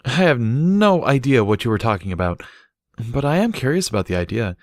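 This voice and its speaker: A man's voice: medium pitch, big pitch swings, brisk, no room sound, clean studio sound, pitched above the performer's own voice, natural voice. A man voicing a cheerful, playful and chatty adult female pony in a storybook reading. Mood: practical.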